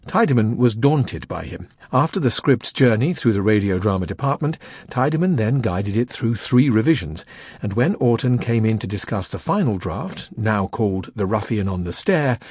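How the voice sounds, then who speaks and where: A man's voice: medium pitch, darkish tone, slightly rough, no room sound. A man reading scripted, documentary-style narration for an audiobook at a close studio microphone.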